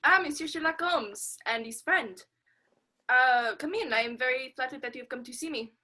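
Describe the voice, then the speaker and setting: French police inspector for radio drama, woman performer: fairly high, bright, formal, courteous. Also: moderate pace. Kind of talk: 1940s radio play acting.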